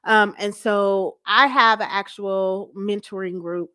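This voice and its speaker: A woman's voice: mid-range voice, fairly even intonation, slow, bright, forceful tone, clean tone, no echo. A middle-aged American woman telling personal stories conversationally as an interview guest. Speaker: interview guest, church first lady and youth mentor.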